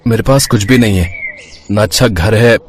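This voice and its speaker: A man's voice: lowish voice, moderate pace, a little hiss or hum, lightly acted. A man voicing a poor, sensible young husband in a cartoon moral story, earnest and somewhat helpless as he explains himself. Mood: earnest, hesitant.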